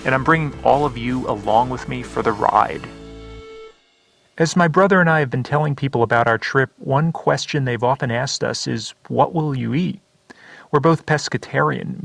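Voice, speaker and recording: A man hosting a travel podcast, narrating in a relaxed, wry storytelling way. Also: mid-range voice, gravelly. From United States. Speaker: podcast host and reporter. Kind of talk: scripted podcast narration mixed with casual chat.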